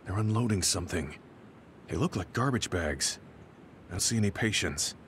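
A man's voice: deep voice, lively intonation, normal speed, a bit bright, a little hiss or hum. An actor playing a stern, earnest, middle-aged male soldier in a game cutscene, speaking seriously and bluntly. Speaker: game character.

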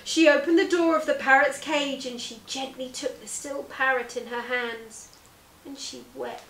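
A woman in her thirties, a teacher reading a children's story aloud with deliberate, theatrical phrasing. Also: high voice, fairly even intonation, fairly bright tone, distant mic, slight background noise. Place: living room sofa, indoors. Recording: roomy indoor echo.

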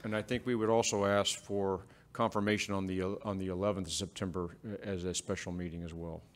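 A man explains schedules to a city council in a measured, slightly halting way. His voice sits a little low. He is heard through a table microphone in a council chamber. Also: flat delivery. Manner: measured, with frequent fillers and restarts. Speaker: city staff presenting to council. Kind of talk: public meeting discussion.

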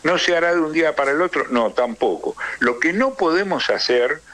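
An Argentine man speaking as a political interview guest, emphatic and rhetorical, building points through deliberate repetition. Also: on the high side, expressive, a bit bright. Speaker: interview guest, trade union leader.

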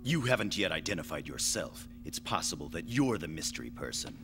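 A man voicing a stern, suspicious, authoritative young captain in an animated sci-fi dub. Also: extremely low pitch, fairly quick, full character voice. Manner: authoritative, terse. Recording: reverberant dub track with music underneath.

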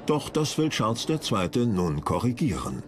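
Male narrator, scripted documentary style, animated delivery, slightly low, clear music bed. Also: normal speed.

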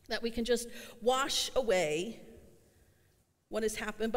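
A middle-aged woman pastor delivering an earnest sermon, slowing down to stress her refrains, recorded in a church sanctuary. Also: sits high, fairly bright tone. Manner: earnest, slows for emphasis on refrains. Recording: long church hall reverb.

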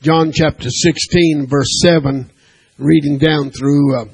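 A man preaching to his congregation in a church sanctuary, speaking slowly and deliberately with a gravelly voice that turns husky. He punctuates his points with "amen" and puts questions to the listeners. He also reads scripture aloud in old-fashioned wording. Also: a little high, steady tone. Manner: preaching with frequent "amen" and call-and-response questions. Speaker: pastor. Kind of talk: sermon.

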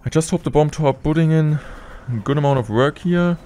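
A young man streaming gameplay from a room indoors, talking through each move in steady play-by-play with excited bursts at big plays, a little sick. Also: on the high side, brisk. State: slightly sick. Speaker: game streamer. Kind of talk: live gameplay commentary.

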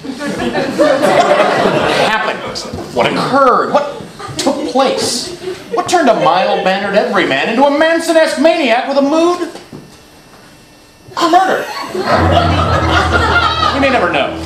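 Bombastic, wildly swooping news anchor. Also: male voice, very high-pitched, sing-song, over a noisy crowd, exaggerated voice. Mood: mock-grave. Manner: overdramatic, portentous, dramatic pauses. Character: melodramatic male TV true-crime news anchor, bombastic. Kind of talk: live sketch comedy parody of TV news. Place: small theatre stage before an audience. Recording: noisy, echoey live theatre recording.

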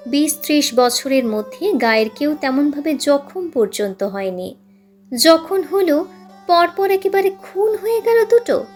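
High-pitched woman narrating a story. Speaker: channel host and story narrator. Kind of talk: scripted literary audiobook narration. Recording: music behind the narration. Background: instrumental music.

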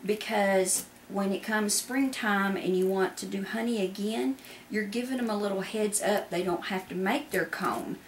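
A woman's voice: medium pitch, normal speed, roomy sound, slight background noise. A woman giving casual, hands-on instruction as a beekeeper while she works indoors. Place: indoor kitchen.

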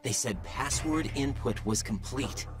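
A man's voice: medium pitch, a little slow, over music, natural voice. A man playing an earnest young soldier. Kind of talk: video game cutscene.

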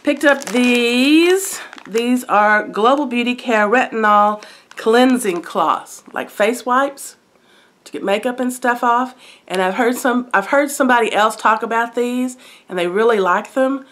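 A middle-aged woman talks casually to a camera close by in her living room, her voice stuffed up from a head cold. She rambles in a friendly, unhurried way with plenty of ums and little asides. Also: a little high, fairly bright tone. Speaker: haul vlogger. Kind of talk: casual show-and-tell chat. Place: living room by a brick fireplace, indoors.

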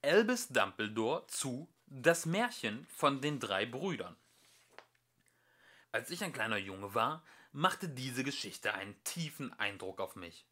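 A man reading a storybook aloud as an amateur narrator, with expressive, emphatic delivery. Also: medium pitch, slow pace. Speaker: amateur audiobook reader.

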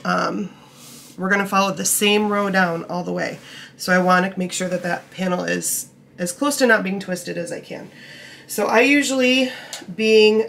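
A woman getting over a cold, a bit croaky, patiently explaining knitting steps while working with her hands. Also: mid-range voice, fairly bright tone, slight background noise. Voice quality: slightly gravelly. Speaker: knitting tutorial host. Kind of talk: casual step-by-step instruction. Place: indoor table, camera over hands.

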